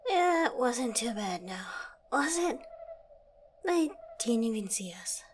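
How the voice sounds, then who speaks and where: A woman's voice: medium pitch, background noise, natural voice. A woman playing a suave, witty secret agent in an audio roleplay, wry and polite, talking as if to a trusted partner. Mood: relieved, breezy. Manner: wry, polite, teasing tag questions. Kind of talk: ASMR audio roleplay, scripted.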